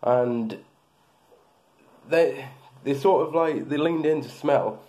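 A man's voice: mid-range voice, takes it slowly, dark tone. A man in his thirties casually reviewing beard products, rambling with lots of fillers and restarts, filmed close in a small room.

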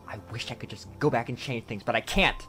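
An actor playing an earnest, guilt-ridden young male attendant to a princess in an animated drama, apologetic and pleading. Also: medium pitch, quick pace, soft background music, close to an everyday voice. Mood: remorseful, pleading.

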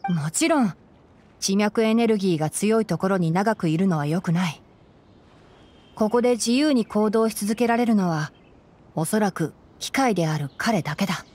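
Casting: woman as boyish young ranger scholar, mid-range, gentle, analytical, anime game style. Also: mid-range voice, a little hiss or hum, natural voice. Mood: explaining. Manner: measured, explanatory, soft-spoken. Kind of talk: Japanese anime game voice acting.

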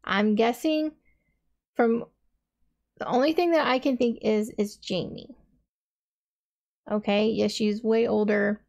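A woman's voice: fairly high pitch, steady tone, takes it slowly, creaky, pauses often, darkish tone. A woman in her thirties hosting a reaction video, thinking aloud in a rambling, casual way with many fillers, in a small dim room.

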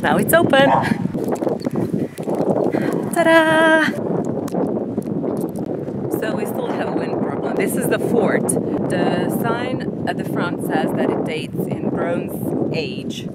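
A woman's voice: medium pitch, expressive, slow pace, pauses often. A woman in her thirties, a native Croatian speaker, chatting upbeat to a handheld camera at windy outdoor ruins. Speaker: travel vlogger. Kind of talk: casual travel vlog to camera. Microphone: handheld camera at arm's length. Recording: background music over outdoor audio.

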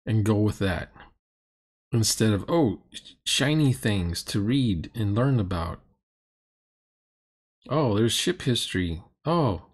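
A man's voice: lowish voice, expressive, slow pace, pauses often. A middle-aged man giving blunt, sarcastic, exasperated commentary in reaction to a roleplaying game. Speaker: critic reacting to a tabletop roleplaying video.

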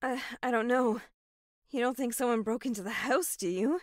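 A woman's voice: on the high side, a little hiss or hum, close to an everyday voice. A woman playing a shaken, guilt-ridden grown daughter in an audio drama, speaking hesitantly. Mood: startled, uneasy. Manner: hesitant, halting.

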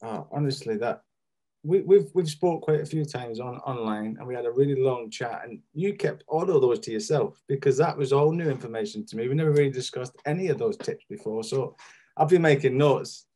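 A man hosting an online talk, chatting casually in an effusive, halting way over a video call. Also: mid-range voice, mellow. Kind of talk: casual chat responding to a speaker.

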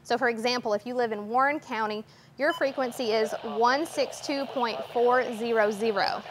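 A young woman giving an orderly, step-by-step instructional demonstration in a clear explaining manner. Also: fairly high pitch, moderate pace. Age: young adult. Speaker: guest demonstrator. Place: TV station weather office, indoors. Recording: noticeable background noise.

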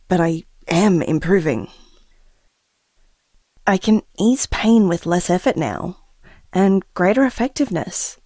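Slow, earnest, confiding expectant mother. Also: on the low side, a little mellow, dry recording, clean studio sound. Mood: earnest, quietly hopeful. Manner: reflective, hesitant, earnest. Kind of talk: audio drama, confiding taped diary monologue.

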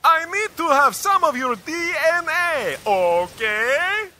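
Bossy cartoon alien, super piercing high voice, irritable, drags words out. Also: male voice, big pitch swings, slow pace, bright, forceful tone, slight background noise, full character voice. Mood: demanding. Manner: demanding, snappish. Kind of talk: amateur cartoon voice acting.

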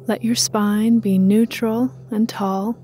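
Slow, mellow female meditation guide. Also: a little high. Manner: calm, soothing, measured.

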